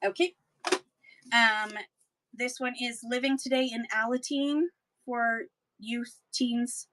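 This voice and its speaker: A woman selling books in a chatty live-stream auction, her voice getting hoarse, with playful sing-song asides. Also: a little high, fairly even intonation, takes it slowly, bright, forceful tone, no echo, poor audio.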